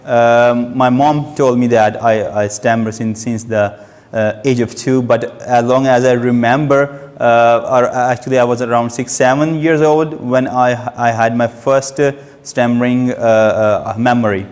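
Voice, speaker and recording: Young man, stammering speaker, slow and halting, hall reverb. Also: medium pitch, steady tone, a little mellow. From Pakistan. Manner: stammers, with frequent hesitations and repeated sounds. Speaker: club member giving a speech. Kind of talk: prepared personal speech.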